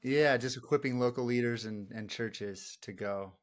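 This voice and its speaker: A man's voice: mid-range voice, moderate pace. A man interviewing in a casual, reflective way, thinking aloud as he phrases his questions.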